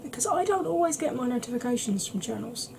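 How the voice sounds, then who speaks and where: A woman in her thirties chatting to camera at home in a soft ASMR style, rambling and often dropping into whispers. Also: a little high, expressive, fast talker, vocal fry, background noise. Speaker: beauty vlogger. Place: small cluttered room at home.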